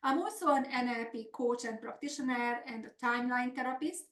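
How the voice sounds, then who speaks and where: A woman's voice: a little high, brisk, reverberant. A middle-aged woman from Hungary with a Hungarian accent, hosting a business coaching webinar in an earnest, upbeat, semi-scripted style.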